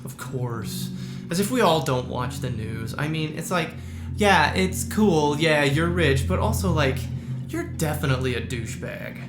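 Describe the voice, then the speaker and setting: A man's voice: fairly high pitch, lively intonation, unhurried, echoey room, over music. A man playing a jealous, grumbling husband in a naturalistic audio drama, with a dry, casual, sardonic delivery. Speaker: voice actor in a musical audio drama.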